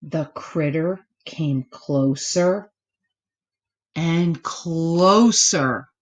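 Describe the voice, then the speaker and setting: A woman narrating a children's story expressively, with dramatic, deliberate pauses. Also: sits low. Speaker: storybook reader.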